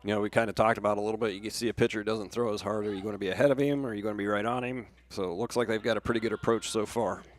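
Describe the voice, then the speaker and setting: A man's voice: fairly low pitch, steady tone, very fast, hardly any pauses. An American man giving casual baseball analysis as a radio color commentator.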